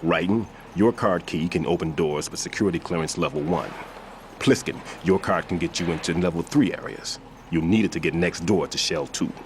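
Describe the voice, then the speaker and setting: A man playing a methodical, somber veteran bomb disposal expert in a video game cutscene, explaining precisely and gravely. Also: deep voice, moderate pace, a little hiss or hum. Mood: instructive, serious. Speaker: demolition expert giving instructions.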